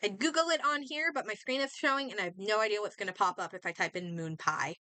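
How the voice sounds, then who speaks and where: A woman streamer chatting casually and thinking aloud in an expressive way as she comments on a game. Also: mid-range voice, fast, clear, resonant tone, dry recording.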